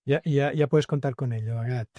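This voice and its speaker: A man's voice: mid-range voice, normal speed, dark tone, no echo, dull audio. A man hosts an online masterclass, introducing the guest informally with small restarts. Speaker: host, moderator. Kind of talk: introducing a guest on a video call.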